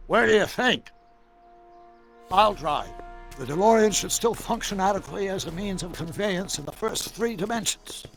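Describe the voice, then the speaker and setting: An actor playing an excitable, eccentric older scientist in a video game, gravelly and theatrical, with wordy, emphatic delivery. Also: male voice, sits high, moderate pace. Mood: brisk, knowing. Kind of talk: animated video game voice acting. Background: music.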